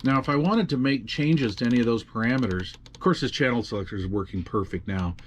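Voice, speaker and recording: A man casually walking through radio software in a makeshift home studio, often trailing off and muttering to himself as he tinkers. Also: mid-range voice, fast talker, slight background noise. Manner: muttering to himself while fiddling with gear, many fillers. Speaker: radio hobby video host. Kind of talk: casual hands-on demonstration.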